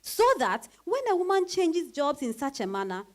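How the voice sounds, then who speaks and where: A woman's voice: high-pitched, sing-song, moderate pace. An actress with a Ghanaian accent playing a realistic, frank woman friend in a dramatized reading, emphatic and sisterly in her chiding. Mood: chiding, knowing.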